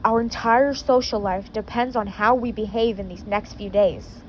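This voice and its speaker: A woman's voice: medium pitch, fairly even intonation, moderate pace, highs cut off, soft background music, voice pushed down, lightly acted. A woman reading the snappy lines of a worried, image-conscious teen boy. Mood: annoyed. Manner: snappy, read in the reader's own voice.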